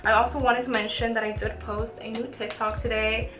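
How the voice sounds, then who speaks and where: A woman's voice: fairly high pitch, level tone, a little fast. A woman in her early twenties talking informally through a makeup tutorial, chatty and thinking aloud as she goes. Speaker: beauty vlogger. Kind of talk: casual makeup tutorial chat. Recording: roomy echo with background music.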